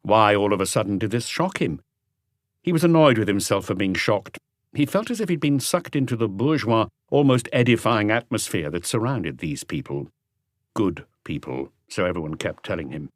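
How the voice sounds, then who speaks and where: A man's voice: on the low side, animated, normal speed, no echo, clean studio sound. A man reading scripted literary narration for a mystery audiobook. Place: close, dry recording space.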